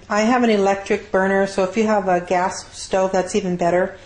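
A woman's voice: mid-range voice, fairly even intonation, moderate pace, darkish tone. A woman giving a casual, rambling, unscripted cooking demonstration as a home cook in her kitchen. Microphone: handheld camera mic. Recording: noisy amateur camera audio with clicks.

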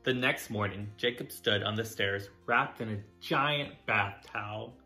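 A man narrating a children's picture book as a drag storytime reader, dramatic and deliberate. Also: lowish voice, bright, forceful tone, soft background music. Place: small furnished room indoors.

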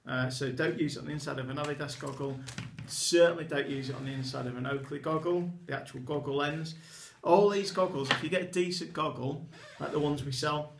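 A man's voice: on the high side, a bit bright, slight background noise. A man in his thirties from England, an eyewear retailer talking enthusiastically to camera across a desk while he demonstrates products. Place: small indoor office with a poster behind a desk. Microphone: camera in front at desk distance. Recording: echoey small room.

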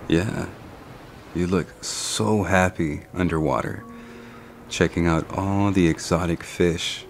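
A young man plays a tender, doting boyfriend in an ASMR role-play. His very low voice is full of vocal fry and moves slowly, in a soft, intimate murmur with long pauses. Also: fairly even intonation, a little hiss or hum. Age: young adult.

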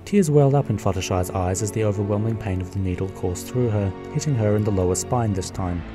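A man giving steady, scripted narration for a fan radio play. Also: on the low side, fast, music in the background.

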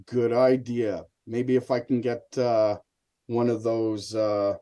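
Middle aged guy showing his experiment on a video call, mellow dark voice. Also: mid-range voice, flat delivery, takes it slowly. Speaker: hobby experimenter, guest presenter. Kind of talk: casual live demonstration on a video call. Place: indoor room, video call.

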